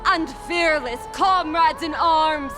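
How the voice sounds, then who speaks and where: A woman's voice: sits high, slow, music in the background. An actress playing a girlish, grand herald, declaiming ceremoniously as if before a god. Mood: solemn awe. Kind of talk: theatrical proclamation.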